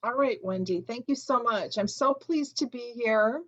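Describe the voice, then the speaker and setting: An earnest woman from Chicago whose first language was Lithuanian gives an online lecture, reflective and hesitant at times. Her voice is bright and rather high. The audio is dull and lo-fi, as if over a video call. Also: normal speed. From Chicago, USA. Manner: earnest, reflective, with frequent ums. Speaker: author giving a talk.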